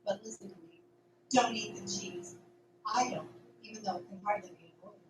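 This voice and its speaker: A woman's voice: mid-range voice, steady tone, moderate pace, close to an everyday voice. Woman playing anxious farm girl, secretive, urgent, emphatic, radio drama, distant mic, echoey room. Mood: warning, secretive. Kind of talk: amateur radio drama dialogue. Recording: roomy, distant mic, noisy background.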